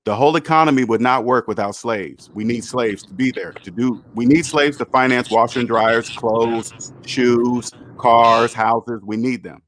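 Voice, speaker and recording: A man's voice: mid-range voice, moderate pace, fairly bright tone, slight background noise. 50s guy hosting a call-in show, hammers his point over and over. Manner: emphatic, repeats points. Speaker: host. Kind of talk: call-in money talk.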